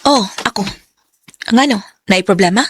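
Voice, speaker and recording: A woman acting a heartbroken, proud young office worker in a Philippine radio drama, with a Cebuano accent and expressive delivery. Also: mid-range voice, normal speed, background noise, natural voice. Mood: curt, irritated. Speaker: lead actress and first-person narrator.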